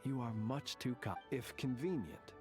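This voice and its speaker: An actor playing a courteous, solemn gentleman in a video game, speaking in formal, ornate and measured phrases. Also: mid-range voice, lightly acted. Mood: courteous, gracious. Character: courteous, solemn gentleman who lived alone in a cemetery. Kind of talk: scripted video game character dialogue. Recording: game music behind the voice.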